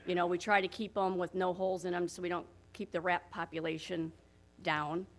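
Brief: woman, property manager, loud plainspoken voice, walks a council through figures on a room mic. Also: on the low side, little pitch movement, fairly quick. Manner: plainspoken, talks through her figures with frequent "so what I did". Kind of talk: presentation at a town work session. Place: council chambers. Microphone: room microphone.

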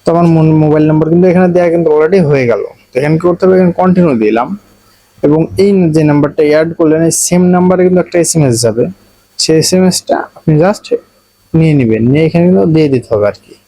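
A man's voice: on the high side, quick pace, warm tone, dry recording, low-quality recording. A man with a Bangladeshi accent narrating a casual step-by-step phone tutorial, explaining repetitively and addressing viewers directly. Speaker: tech tutorial host.

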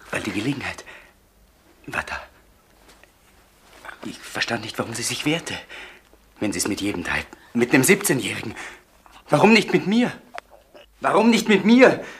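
An actor playing a tormented man confessing in a crime radio play, haltingly, often dropping toward a whisper. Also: mid-range voice, a bit bright. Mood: desperate. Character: tormented man confessing a killing to his brother. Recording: slightly noisy old radio play recording.

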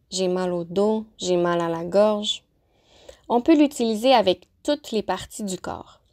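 A woman teacher narrating a lesson, pronouncing example phrases slowly and carefully. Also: medium pitch. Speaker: French-as-a-second-language teacher. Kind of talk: clear, deliberate vocabulary lesson narration.

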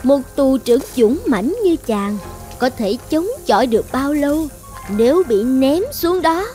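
Possessive young island witch for a cartoon, highish voice, bossy and coaxing, bit of music under it. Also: expressive, unhurried, mellow. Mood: threatening. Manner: commanding, self-assured. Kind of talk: dubbed fairy-tale cartoon acting. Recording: music behind the voice.

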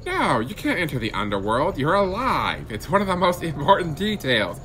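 A man's voice: a little high, huge pitch swings, normal speed, sharp tone, faint music behind, exaggerated voice. A man playing a weary underworld gatekeeper with a casual, matter-of-fact, put-upon manner.

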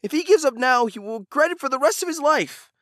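A woman playing an earnest, kindhearted young helper in an animated comedy, speaking in a pleading, persuasive way. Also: high voice, fast talker, no echo, clean studio sound.

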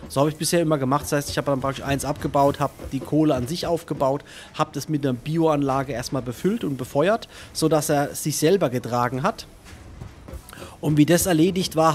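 A man's voice: mid-range voice, fairly quick, slight background noise. A man giving casual let's play commentary, thinking aloud and chatting to himself and his viewers.

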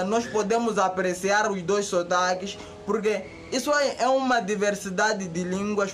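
A young man with a Mozambican Portuguese accent talks casually to the camera for his channel, animated and gesturing with both hands, recorded close up indoors by a bare concrete wall. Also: high voice. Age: young adult. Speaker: YouTuber.

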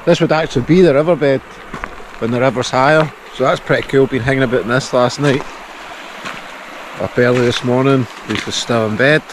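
A man's voice: medium pitch, a little fast, background noise. A man in his thirties with a Scottish accent, chatting casually to an action camera held at arm's length outside by a river. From Scotland. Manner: chatty, cheerful, laughs often. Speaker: vlogger. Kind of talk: casual vlog narration. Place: outdoors in a glen by a river.

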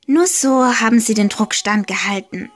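A woman reading a short homemade fairy tale aloud, slow and deliberate, leaning gently into key words. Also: fairly high pitch. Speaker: storyteller. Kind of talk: slow storybook narration.

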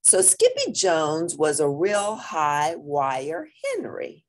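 A woman reading a picture book aloud to children with expressive narration. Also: low-pitched, takes it slowly. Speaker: storybook reader.

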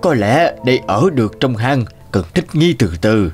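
A man's voice: medium pitch, lively intonation, measured pace, darkish tone, lightly acted. An elderly crow played by a man speaks in a humble, hesitant way. He is a deferential, pleading schemer from a cartoon fairy tale, with light music underneath. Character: old male crow, humble, wily schemer.

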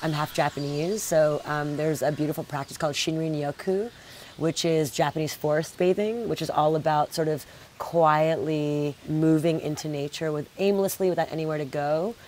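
A woman's voice: low-pitched, normal speed, a little mellow, slight background noise. A woman in her thirties showing guests around her home in flowing, enthusiastic casual talk, full of "sort of" and "like". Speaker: homeowner giving a house tour. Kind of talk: casual tour chat. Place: indoor house rooms.

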